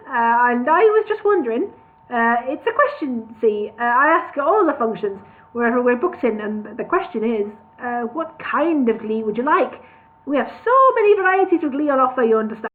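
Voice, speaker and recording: A woman's voice: sits high, expressive, normal speed. A woman voicing a flustered male troupe leader who hesitates with many ums and uhs, on a tinny phone-like recording.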